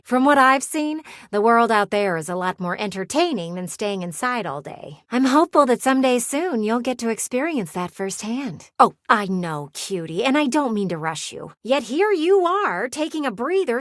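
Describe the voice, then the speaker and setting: Flirty, wistful living window gal for a game, folksy drawl, super swoopy pitch, dry close mic. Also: female voice, fairly bright tone, no room sound. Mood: encouraging, wistful. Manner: folksy, teasing, theatrical drawl with sighs. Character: talking window, flirty yet wistful, folksy female people-watcher. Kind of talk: video game character voice acting. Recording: close, dry studio recording.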